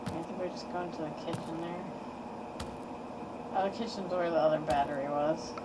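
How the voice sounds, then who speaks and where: A woman chatting casually while playing a game, rambling and quick to laugh. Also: lowish voice, steady tone. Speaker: game commentator. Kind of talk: casual let's-play chat. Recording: distant mic with loud background noise.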